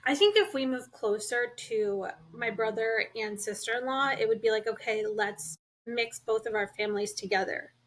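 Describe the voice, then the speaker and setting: A woman co-hosting a podcast in casual chat, asking questions and thinking out loud. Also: fairly high pitch, normal speed. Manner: relaxed, asks questions, thinks aloud. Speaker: podcast co-host.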